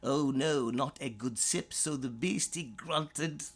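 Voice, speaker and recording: Slow, husky theatrical storyteller. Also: mid-range voice. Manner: theatrical, drawn-out phrasing with dramatic swoops. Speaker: storyteller, author reading own story. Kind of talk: dramatic rhyming bedtime story narration.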